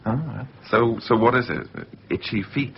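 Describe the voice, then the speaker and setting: A man's voice: medium pitch, normal speed, vocal fry, close to an everyday voice. An actor playing an insinuating man who casually needles and probes, in naturalistic radio drama style. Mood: chatty, probing. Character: Jon, insinuating, film-obsessed man steering every conversation. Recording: muffled, noisy off-air radio recording.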